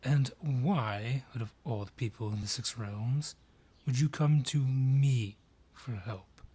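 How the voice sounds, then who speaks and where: A man's voice: mid-range voice, slow pace, dark tone, husky. An actor voicing a haughty male dryad with a grudge in an audio roleplay, sardonic and theatrical, drawing out each taunt. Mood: sardonic, contemptuous. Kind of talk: scripted audio roleplay acting.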